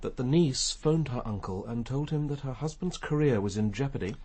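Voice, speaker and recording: An actor playing a courteous, perceptive senior police detective in a radio drama, speaking in a formal, restrained, probing way. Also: male voice, mid-range voice, normal speed, mellow, husky, lightly acted. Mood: grave, restrained.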